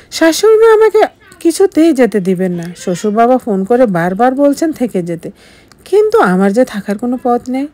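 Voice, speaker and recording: Woman narrator with lively, swooping intonation. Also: a little high, no room sound. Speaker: story narrator. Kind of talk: scripted dramatic first-person narration of a sad love story.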